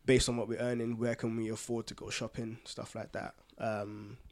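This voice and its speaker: A man's voice: mid-range voice, level tone, normal speed, a little mellow. A man with a London accent talking casually as a podcast co-host, sharing personal anecdotes in an informal, slangy way.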